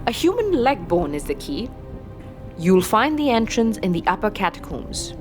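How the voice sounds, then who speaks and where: A woman's voice: mid-range voice, sing-song, moderate pace, music in the background, lightly acted. A woman playing a brooding, grudging fortune-teller in a fantasy audio drama, speaking in inverted archaic phrases with an ominous manner. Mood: musing, ominous.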